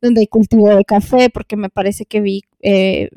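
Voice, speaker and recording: A woman's voice: medium pitch, fairly even intonation, moderate pace, creaky, warm tone, no echo, studio quality. A woman talking on a podcast in a casual way, hesitant and searching for words, with many fillers. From lived in Colombia.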